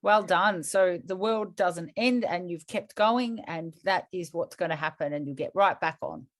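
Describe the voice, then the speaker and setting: A woman's voice: on the low side, measured pace. A woman, a fitness coach co-hosting a casual podcast, giving short encouraging replies.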